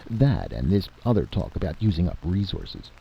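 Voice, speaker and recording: A man voicing an exasperated, forceful general who argues emphatically and impatiently in a story reading, on a dull, noisy recording. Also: low voice, normal speed, vocal fry, pitched above the performer's own voice, exaggerated voice. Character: exasperated, forceful male military general arguing his case. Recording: dull lo-fi audio with steady hiss.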